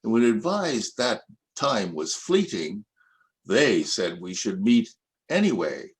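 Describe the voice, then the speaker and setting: An older man reading his own rhyming poems aloud in a measured, reflective recitation. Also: fairly low pitch, very animated. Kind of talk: poetry reading.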